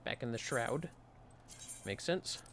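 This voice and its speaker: A man's voice: medium pitch, animated, takes it slowly, background noise. A man giving casual livestream game commentary, musing wryly aloud to himself. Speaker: livestreamer playing an online fantasy game. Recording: music behind the voice.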